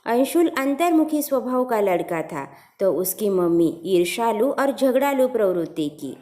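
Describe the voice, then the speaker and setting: A woman's voice: on the high side, sing-song, a little slow, mellow. A woman narrating a scripted first-person family story as a storyteller.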